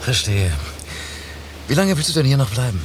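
A man's voice: medium pitch, big pitch swings, normal speed, lightly acted. A man acting an easygoing, inquisitive schoolteacher on holiday in a naturalistic radio drama, speaking conversationally. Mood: curious, easygoing. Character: curious male schoolteacher on a beach holiday, easygoing. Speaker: actor. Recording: hissy old cassette of radio play.

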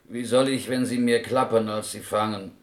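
A man plays a mild, fussy and very polite character in a radio play. The voice is low, with an Eastern European accent, formal courtesy and gently questioning, turned-around sentences. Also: fairly even intonation, moderate pace, close to an everyday voice. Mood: courteous, reproachful. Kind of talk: German radio drama acting.

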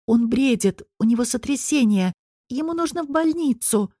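A woman's voice: high-pitched, lively intonation, moderate pace, mellow, no room sound, studio quality, voice pushed up. A woman voicing an angry, accusing, near-hysterical young woman in audiobook dialogue, scolding in exclamations. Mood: panicked.

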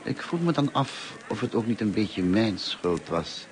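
An actor in a Dutch radio play as a kindly, talkative, lonely middle-aged teacher, courteous and musing in manner. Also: male voice, lowish voice, big pitch swings, a little slow. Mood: earnest, musing. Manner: courteous, musing, hesitant and self-effacing. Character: kindly, talkative, lonely grey-haired male French teacher. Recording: noisy old radio play recording.

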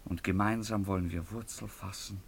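Young baron guy, lowish voice, passionate and poetic, very theatrical radio play delivery. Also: fairly even intonation, moderate pace, vocal fry, a little hiss or hum. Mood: ardent, tender. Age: young adult. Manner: declamatory, ardent, poetic. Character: passionate young baron wooing a peasant girl. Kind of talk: theatrical German radio drama acting.

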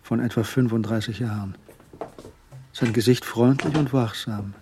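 A man's voice: mid-range voice, steady tone, moderate pace, noisy background, close to an everyday voice. A man acting as the anxious narrator of a suspect's thoughts in a crime radio play. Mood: tense, observing.

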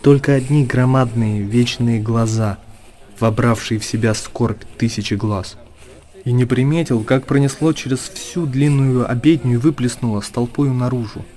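A man performing as a solemn storyteller, narrating a literary radio drama in measured short phrases. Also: mid-range voice, dark tone, a little hiss or hum, lightly acted. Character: solemn storyteller of a monastery tale.

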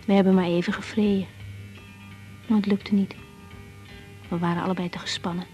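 An actress playing a caring, reflective young woman doctor in a radio drama, gentle and slightly hesitant. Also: medium pitch, flat delivery, fairly quick, highs cut off, soft background music, close to an everyday voice. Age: young adult. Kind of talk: radio drama dialogue and diary-like narration.

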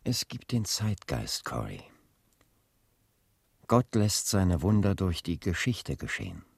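An actor playing a weary, earnest young preacher and leader in a German radio play, with a husky voice. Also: male voice, deep voice, very animated, slow pace, heavily acted. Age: young adult.

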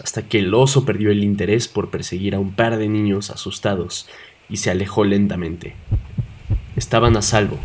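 A man narrating a scripted, dramatic audio story. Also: fairly low pitch. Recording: clear hum, clicks and throbbing noise.

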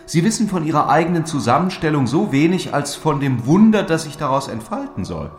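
An actor playing a sceptical, melancholy gentleman lord who objects formally in a literary audio drama. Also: fairly high pitch, big pitch swings, a little fast. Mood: doubtful, protesting. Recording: roomy echo, faint background noise.

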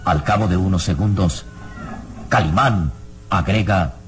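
Solemn old radio serial narrator, suspenseful, music going behind him. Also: male voice, sits low, a little slow.

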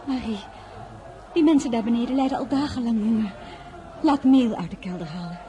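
A woman's voice: on the high side, fairly quick, warm tone, lightly acted. An actress playing a generous young noblewoman giving orders to her maid. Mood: firm. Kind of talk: radio play acting.

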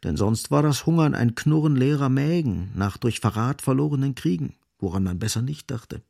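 An actor as the sardonic narrator of a literary radio play, gravelly and husky, speaking with ironic, dramatic emphasis. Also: male voice, mid-range voice, lively intonation, moderate pace, a little mellow.

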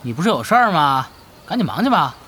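A man with hugely varied pitch grumbles as an exasperated gamer. He is sarcastic and dismissive, in an audio drama with faint background noise. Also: sing-song, fast talker, sharp tone.

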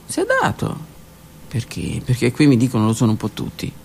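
A woman reading her own literary text aloud on the radio, in a slow, intimate voice that often drops to a whisper. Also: rumbling low, wildly swooping pitch, gravelly, slight background noise. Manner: slow, intimate, hushed delivery with whispered words. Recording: radio broadcast with faint music.

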